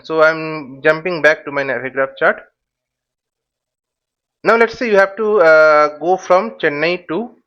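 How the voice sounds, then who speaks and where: A man giving an informal tutorial in a halting, step-by-step way, repeating phrases and checking with short questions. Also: sits high, fairly bright tone, muffled recording. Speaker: flight simulation instructor and presenter.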